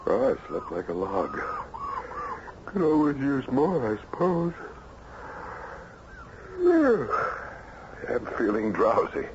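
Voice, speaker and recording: An actor playing a stubborn, brooding professor and writer in an old radio mystery. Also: male voice, mid-range voice, huge pitch swings, takes it slowly, long pauses. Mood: drowsy. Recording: dull, faintly noisy old radio recording.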